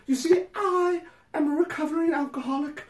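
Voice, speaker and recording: A woman's voice: high voice, brisk, a little mellow, close to an everyday voice. A woman playing an earnest, apologetic, gushing letter-writer in a theatrical, mock-dramatic reading, recorded in an echoey room. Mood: melodramatic. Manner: theatrical, overwrought.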